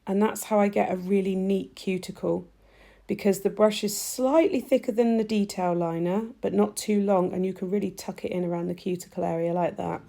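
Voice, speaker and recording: A woman with a stuffy, nasal voice, chatting warmly through a nail tutorial as she works. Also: mid-range voice, fairly even intonation, a little fast, creaky. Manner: chatty, gushing, talks while working. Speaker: nail technician demonstrating gel polish. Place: home nail studio.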